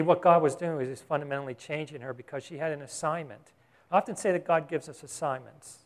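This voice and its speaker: A man delivering a Bible-teaching sermon in a relaxed, conversational manner. His voice sits a little higher than most men's. He keeps checking in with his listeners through short questions. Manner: conversational preaching, asks the congregation "Got it?". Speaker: pastor.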